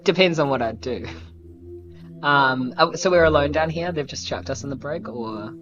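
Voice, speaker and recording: A woman's voice: low voice, normal speed. A woman with a New Zealand accent chatting casually and jokingly as a player in a tabletop game. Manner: playful, joking. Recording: dull sound with clear music behind. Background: background music.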